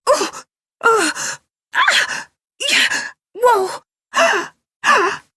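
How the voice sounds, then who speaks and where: A woman's voice: extremely high pitch, big pitch swings, extremely slow, bright, forceful tone, no echo, studio quality, heavily acted. A young woman playing a curious, plucky amateur detective in an adventure video game, thinking aloud to herself. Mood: startled, straining. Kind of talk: scripted adventure game voice lines.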